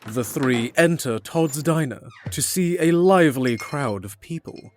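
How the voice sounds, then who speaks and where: A man's voice: a little high, lively intonation, measured pace, slight background noise. A man narrating a scripted fantasy story in the third person.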